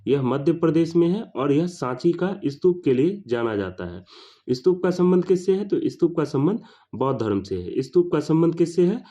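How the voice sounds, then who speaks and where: Man, dark mellow voice, didactic teacher, repeated questions and answers, dull lo-fi recording. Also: fairly high pitch, lively intonation, moderate pace. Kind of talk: exam question-and-answer lesson. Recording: dull lo-fi sound.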